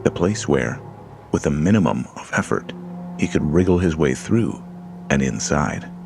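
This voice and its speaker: A man narrating a horror story in a slow, measured, hushed storytelling manner. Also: very deep voice, vocal fry. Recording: music bed under voice.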